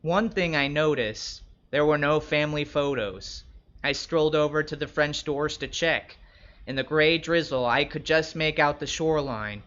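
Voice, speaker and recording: A man plays a wary young escort telling his story in the first person. The voice is bright and forceful, a bit high, with a wry, measured delivery. The recording is slightly dull. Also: close to an everyday voice. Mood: wry, descriptive. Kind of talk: first-person literary narration.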